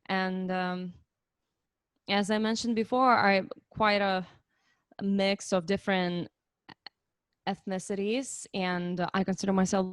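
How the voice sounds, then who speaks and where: A woman's voice: medium pitch, little pitch movement, takes it slowly, long pauses, smooth, no room sound, studio quality. A woman, a musician answering interview questions thoughtfully with frequent hesitations over a video call. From Lithuania. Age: 30s. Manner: thoughtful, hesitant, many fillers. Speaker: musician guest. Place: home, online interview.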